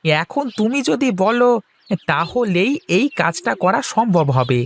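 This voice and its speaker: High-voiced scheming village man, acted by a man. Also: sing-song, unhurried, a little hiss or hum, full character voice. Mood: persuasive. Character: scheming, eager younger village man with a plan. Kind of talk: Bengali cartoon dialogue.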